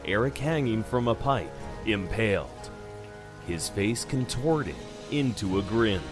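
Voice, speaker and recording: A man's voice: on the low side, animated, slow, over music. A man reading dramatic scripted narration for a horror audio drama.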